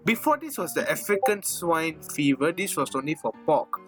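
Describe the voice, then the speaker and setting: A man's voice: fairly high pitch, sing-song, moderate pace, a bit bright, faint music behind. A young man with a Malaysian English accent, co-hosting a casual podcast and rambling with lots of "I mean, like". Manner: rambling, heavy use of "I mean, like". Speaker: podcast co-host. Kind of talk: casual chat.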